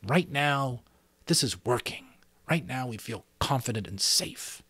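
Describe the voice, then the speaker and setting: A middle-aged man giving an expressive, theatrical amateur reading of a novel, with occasional asides to listeners. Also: mid-range voice, measured pace, breathy edge, no echo. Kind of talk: dramatic audiobook narration with asides to listeners.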